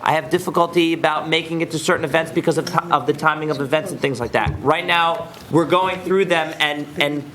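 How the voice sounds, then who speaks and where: A man's voice: a little high, fairly quick, hardly any pauses, a crowd in the background. A man giving stammering, informal remarks at a public meeting, restarting often.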